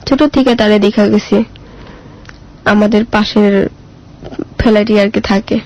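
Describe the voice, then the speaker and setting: Creaky-voiced Bangladeshi teenage girl. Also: medium pitch, steady tone, a little slow, darkish tone, dull audio. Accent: colloquial Bangladeshi. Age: mid-teens. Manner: simple, colloquial, short answers. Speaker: guest telling own life story. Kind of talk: plain spoken personal storytelling in interview.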